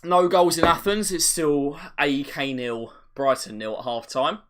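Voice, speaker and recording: A man hosting a live watch-along, commentating on a match as a fan, his voice getting a little raspy. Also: fairly even intonation, fairly bright tone. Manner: partisan, rises sharply on chances, drops low when play slows.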